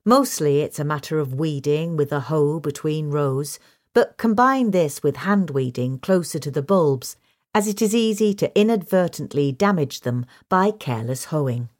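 A woman reading a scripted question-and-answer guide in a measured, instructional manner. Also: low-pitched, lively intonation. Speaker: reader of a magazine article.

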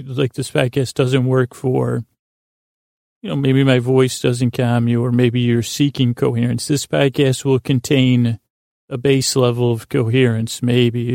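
Creaky, mellow man rambling to lull listeners. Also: mid-range voice, no echo, studio quality. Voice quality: creaky, soothing. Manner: halting, tangent-prone, circling back on himself. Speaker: podcast host. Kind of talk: rambling, meandering bedtime monologue meant to lull listeners to sleep.

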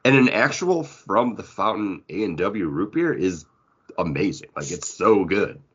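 A man's voice: deep voice, animated, unhurried, breathy edge, dull audio. A man chatting casually and bantering as a podcast co-host. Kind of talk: casual chat.

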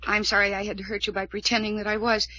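A woman's voice: medium pitch, level tone, fast talker, bright, forceful tone. An actress playing an earnest, trusting young woman in a 1940s radio serial. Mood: confiding. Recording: muffled old-time radio recording.

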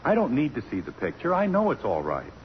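An actor playing a genial, romantic middle-aged college president with a soothing, slightly hesitant manner in a 1950s radio drama. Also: male voice, mid-range voice, wildly swooping pitch, fairly quick. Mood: uneasy, soothing. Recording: dull, faintly noisy old radio recording.

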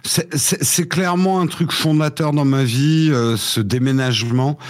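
A man's voice: fairly high pitch, a little slow. Chatty man, American-born, telling his story. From United States. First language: English. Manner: animated storytelling with frequent restarts. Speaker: guest. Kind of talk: casual interview chat.

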